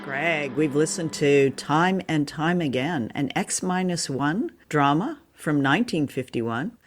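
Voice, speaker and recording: A woman's voice: low-pitched, expressive, measured pace, noisy background. A woman co-hosting a podcast, casually discussing and recapping a story. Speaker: podcast co-host.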